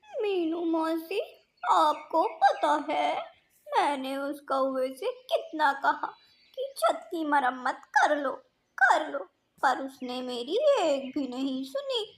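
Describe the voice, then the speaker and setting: A woman's voice: high-pitched, very animated, slow pace, pauses often, exaggerated voice. A woman voicing a dramatic, crafty crow wife in a cartoon, whining and pleading in an exaggerated way. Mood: tearful complaining. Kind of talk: Hindi cartoon voice acting.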